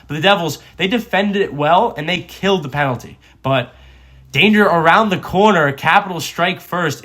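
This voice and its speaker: A man's voice: fairly high pitch, fairly bright tone. A man working as a sports reporter, narrating a scripted hockey game recap.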